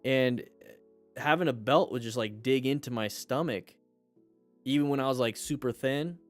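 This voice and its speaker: Male livestream host, mid-range voice, blunt and joking, animated casual chat with viewers, music underneath. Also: medium pitch, normal speed. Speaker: firefighter.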